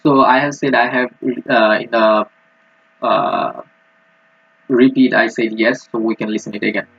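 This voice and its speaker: Husky, halting man in a roomy recording. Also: mid-range voice, fairly even intonation, fairly bright tone. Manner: halting, hesitant delivery. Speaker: student presenter. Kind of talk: technical screen-recording walkthrough. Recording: muffled, roomy lo-fi microphone.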